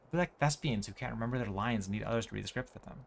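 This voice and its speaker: A man's voice: on the low side, very fast, gravelly, a little hiss or hum. A man voicing a proud, worried female musical theater performer with formal phrasing, from game dialogue. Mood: principled, indignant. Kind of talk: reading game dialogue aloud.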